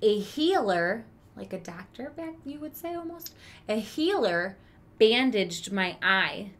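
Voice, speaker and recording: Slow, bright-voiced woman reading to children. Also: medium pitch, very animated. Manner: deliberate, dramatic storytelling with questions to young listeners. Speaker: teacher reading aloud to children. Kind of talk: expressive children's storybook read-aloud with asides. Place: small room with bookshelf, indoors.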